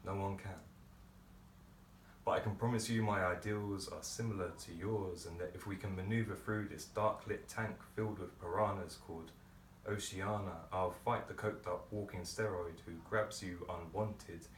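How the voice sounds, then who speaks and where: A deep-voiced man delivers spoken-word poetry in a theatrical, deliberate way. He is heard from some distance in a very roomy space. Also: steady tone. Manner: theatrical, deliberate phrasing with pauses. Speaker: poet performer. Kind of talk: dramatic spoken-word poetry monologue performance. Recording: roomy, distant microphone, background noise.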